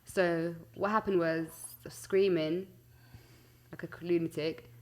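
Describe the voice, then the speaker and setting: Young London woman, low-pitched casual talker. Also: unhurried. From West London, UK. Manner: informal slangy, giggly, animated storytelling. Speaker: singer-songwriter interview guest. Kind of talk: casual chat.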